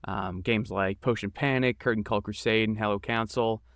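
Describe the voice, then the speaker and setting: A man's voice: on the low side, fairly even intonation, normal speed, gravelly, a bit bright, no echo, studio quality. A man answering interview questions casually as a podcast guest, with an informal, enthusiastic and self-deprecating manner. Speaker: podcast guest, game creator and voice actor.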